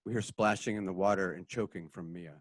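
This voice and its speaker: Low-voiced man reading stage directions. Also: fairly quick, no room sound. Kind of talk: radio drama script reading.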